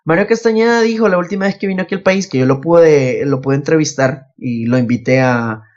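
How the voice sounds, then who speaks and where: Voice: mid-range voice, a little fast, a little mellow, dull audio. A podcast host, chatting casually and telling anecdotes, often acting out what others said. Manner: acts out quoted remarks.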